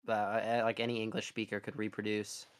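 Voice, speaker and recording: A man's voice: on the low side, monotone, brisk, clear, resonant tone. A young man co-hosting a podcast, chatting casually in a rambling, sarcastic way with frequent restarts. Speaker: podcast co-host. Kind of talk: casual chat.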